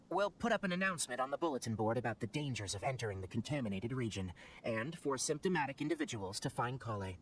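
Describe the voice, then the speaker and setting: A man voicing a young forest ranger scholar in a video game, exacting and testy, with a crisp lecturing manner. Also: medium pitch, a little hiss or hum, close to an everyday voice. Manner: crisp, lecturing, matter-of-fact.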